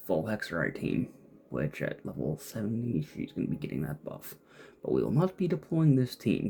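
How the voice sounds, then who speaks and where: A man's voice: on the low side, gravelly, warm tone. A man giving casual, off-the-cuff gameplay commentary, muttering and thinking aloud to himself as he plays. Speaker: gamer playing and commenting.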